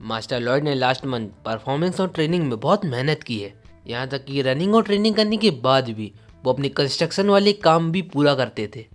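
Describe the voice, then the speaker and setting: A man's voice: medium pitch, animated, a little fast, soft background music, close to an everyday voice. A man voicing an earnest, loyal retainer who speaks respectfully in a manga story. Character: earnest loyal male retainer, easily astonished.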